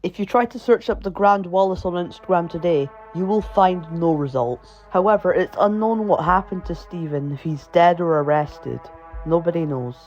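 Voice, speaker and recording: Guy narrating a scripted horror story, voice a bit high, music playing behind him. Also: normal speed. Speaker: video narrator. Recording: dull, lo-fi voice track. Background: background music.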